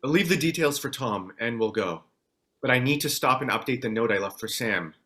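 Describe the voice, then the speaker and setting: A man's voice: medium pitch, animated, brisk, fairly bright tone, close to an everyday voice. A man playing a concerned, practical husband in a thriller drama table read.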